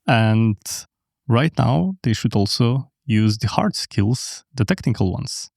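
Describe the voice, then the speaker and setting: A man's voice: mid-range voice, lively intonation, slow, creaky, darkish tone, no echo, studio quality. A man whose first language is Polish, hosting a podcast and interviewing guests with brief questions and mm-hmms. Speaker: podcast host.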